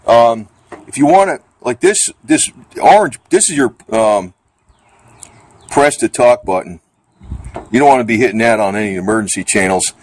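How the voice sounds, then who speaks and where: A man's voice: medium pitch, moderate pace, slight background noise. A middle-aged or older man giving a casual, off-the-cuff gear demonstration in a rambling, plainspoken, colloquial and mildly grumbling way. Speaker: vlogger demonstrating radio gear.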